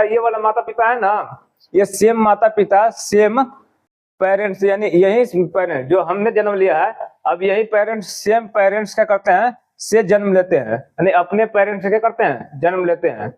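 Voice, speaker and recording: A man's voice: sits high, lo-fi sound. A man teaching a class, explaining a poem line by line and often asking whether the students understood. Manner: repetitive questioning, drawn-out English words.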